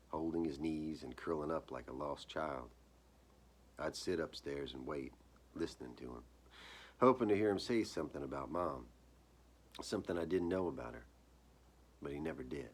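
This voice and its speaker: Very deep, husky-voiced man reading. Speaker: author reading own short story. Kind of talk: dramatic live reading of literary horror fiction.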